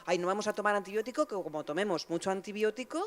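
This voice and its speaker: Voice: mid-range voice, very fast. Moderator leading a Q&A. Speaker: session moderator.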